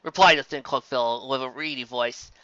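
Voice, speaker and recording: A man reading fan fiction aloud as an amateur narrator, expressive and occasionally stumbling over words. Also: medium pitch, fairly quick, smooth, dry recording, dull audio.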